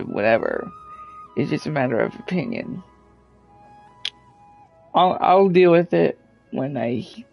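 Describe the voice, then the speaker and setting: Male commentator, casual, hesitant, slow-paced, gravelly fry, unscripted opinion. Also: mid-range voice. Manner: halting, hesitant. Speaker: video uploader giving commentary.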